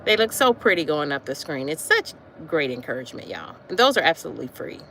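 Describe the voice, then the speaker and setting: A woman in her late forties talks casually to her livestream viewers, often repeating her words. Her voice sits low with a gravelly edge. There is faint wind noise from her walk outdoors. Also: sing-song, fast talker, fairly bright tone, rough recording. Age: 40s. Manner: chatty, repeats phrases, addresses viewers as y'all. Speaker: livestream host.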